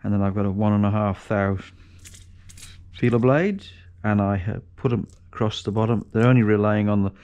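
A man's voice: lowish voice, normal speed, darkish tone. A man casually talking through an engine rebuild as he works, thinking aloud and correcting himself. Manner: thinking aloud while working, with restarts and self-corrections. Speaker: home mechanic vlogger. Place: large cluttered garage workshop, indoors. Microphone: chest-mounted action camera.

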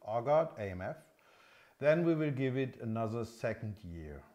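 A man in his fifties with a continental European accent, a non-native English speaker explaining things off the cuff in a halting, hesitant way as a workshop presenter. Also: mid-range voice, very animated, mellow. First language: not English. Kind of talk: unscripted product explanation. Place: indoor engine workshop showroom.

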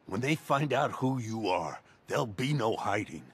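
A man voicing a defiant, anguished middle-aged police commissioner in a video game, emphatic and strained, with a raspy voice. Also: on the low side, animated, normal speed. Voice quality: raspy, forceful. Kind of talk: dramatic video game acting.

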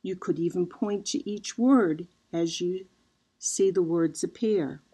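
Patient woman teacher, talks very slowly, drawing out each step for young learners. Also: fairly low pitch, dark tone, slightly rough. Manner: very slow, patient, drawn-out instructions. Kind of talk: guiding children through a worksheet.

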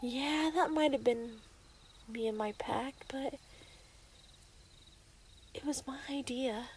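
A woman's voice: high voice, slow pace, slight background noise. A woman playing an obsessive, lovestruck young female werewolf in a yandere ASMR roleplay, menacing and possessive. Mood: pleading, confiding.

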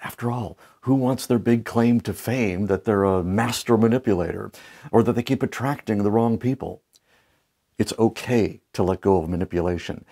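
A man in his fifties hosting a marketing show, speaking to camera in a playful, lively, gently joking way on a lapel mic in a studio. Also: fairly low pitch, moderate pace. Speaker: marketing coach and show host. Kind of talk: scripted talk to camera. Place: bright studio set.

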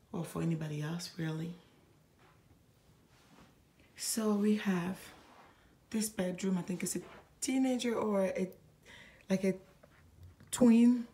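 A woman giving a casual, chatty home tour in a hushed voice, gushing with admiration as she walks through indoor rooms with a handheld camera. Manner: hushed, keeping voice low. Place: indoors, walking through a furnished model home. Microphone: handheld phone or camera held by the speaker.

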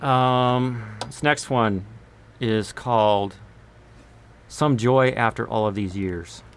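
A man's voice: medium pitch, slow pace, a little hiss or hum. The guy in his bedroom studio late in a small livestream, leaning by the mic and rambling casually to a few online friends. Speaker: live streamer and singer-songwriter. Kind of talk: casual live stream chat. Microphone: large condenser mic on boom arm, close.

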